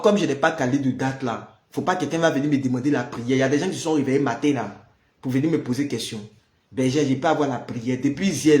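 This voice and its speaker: A man's voice: medium pitch, a little mellow, echoey room. A man with the flu, chatting on a live prayer stream. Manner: chatty, greets viewers by name. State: has the flu. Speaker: prayer leader on a livestream. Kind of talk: casual livestream chat. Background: noise of building work.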